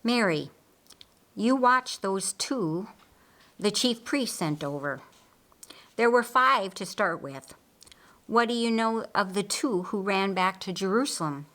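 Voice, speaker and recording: A woman voicing a calm, authoritative male teacher in an audiobook, patient and probing, with a measured, instructive manner. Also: mid-range voice. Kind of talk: audiobook character dialogue.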